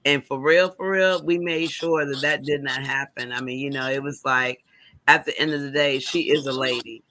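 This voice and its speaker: A man's voice: medium pitch, fairly even intonation, normal speed, pushed and bright, slight background noise. A man hosting an interview in a chatty, affirming way, often backing up the guest. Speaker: interview host. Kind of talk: casual interview chat.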